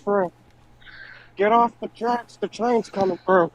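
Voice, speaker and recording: A man's voice: sits high, takes it slowly, low-quality recording. A man co-hosting a podcast in casual group chat, often posing questions to the others. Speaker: wrestling podcast co-host.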